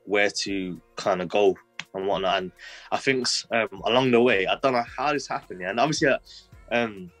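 Brief: young man, low and dry, loose rambling storyteller, faint music underneath. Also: moderate pace, a bit bright, no room sound. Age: 20s. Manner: rambling, heavy use of "like" fillers, street slang. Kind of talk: casual personal storytelling.

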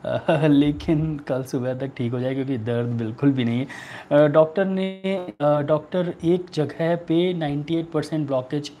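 A man in his thirties with an Indian accent, a doctor answering viewer questions in a halting, repetitive way. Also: a little high, mellow, faint music behind. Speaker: homeopathic doctor hosting a live online clinic. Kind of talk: answering viewer questions, giving remedy advice. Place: small furnished room indoors.

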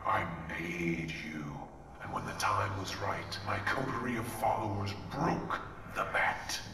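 An actor playing a brooding, grandiose evil knight in a video game, breathy and menacing, in an echoing distant recording. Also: male voice, fairly low pitch, expressive, a little slow, bright, forceful tone, a little hiss or hum. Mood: sinister. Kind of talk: video game villain acting. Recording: echoing, distant-sounding voice under music.

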